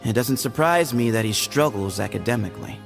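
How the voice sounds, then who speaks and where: A man's voice: medium pitch, animated, a little fast, creaky, loud music behind the voice. A man playing a sly, easygoing young desert dweller in a video game, breezy, teasing and glib. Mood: sly, casual. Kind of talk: video game character acting.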